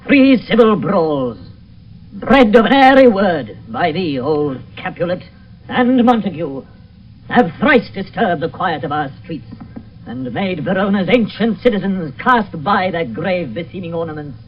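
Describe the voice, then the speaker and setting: A man's voice: sits high, animated, unhurried. An actor playing a stern, angry prince in a radio Shakespeare play, declaiming commands to his subjects. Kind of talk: 1970s radio Shakespeare acting. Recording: dull, hissy 1972 radio recording, distant.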